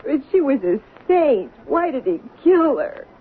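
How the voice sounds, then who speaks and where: Grief-stricken, high-voiced waitress. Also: female voice, big pitch swings, measured pace. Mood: grieving, solemn. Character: grieving lunch-counter waitress, obsessed soap opera fan. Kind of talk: radio drama acting. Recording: tinny, noisy 1970s radio recording.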